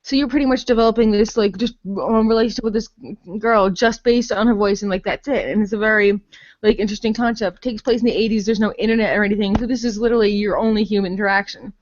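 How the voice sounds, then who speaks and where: A woman's voice: mid-range voice, steady tone, quick pace, highs cut off. A woman chatting casually and bluntly as a podcast reviewer, rambling, with plenty of "like" and some swearing. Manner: rambling, heavy use of "like"; blunt, profane. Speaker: podcast host reviewing games. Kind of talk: casual unscripted game review chat.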